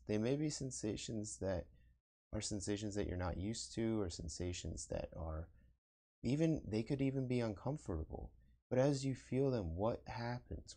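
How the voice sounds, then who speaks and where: Low, creaky-voiced man guiding meditation. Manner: gentle, encouraging, short pausing phrases. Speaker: meditation teacher. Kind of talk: guided meditation.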